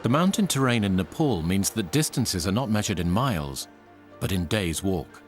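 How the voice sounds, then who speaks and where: A man's voice: deep voice, sing-song, moderate pace, music in the background. A man reading scripted documentary narration.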